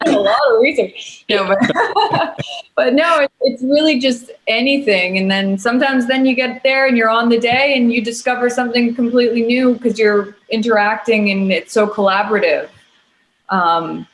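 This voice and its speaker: A woman's voice: fairly high pitch, moderate pace, poor audio. A woman actor chatting casually on a panel in a light, easygoing and playful way.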